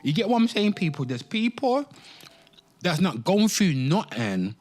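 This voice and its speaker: A man hosts a casual online commentary show with faint music behind him. His pitch swings widely as he rambles, repeats himself for emphasis and slips into dramatic readings. The talk is slangy and addressed straight to his listeners. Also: sing-song, a little slow, darkish tone. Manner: slangy, frequently swearing, addressing listeners as people.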